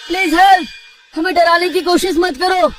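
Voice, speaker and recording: Man acting a bold young male student, high-pitched, exclamatory, animated horror cartoon, off-mic over music. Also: normal speed, far from the mic. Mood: panicked. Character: bold, adventurous young male college student, easily panicked.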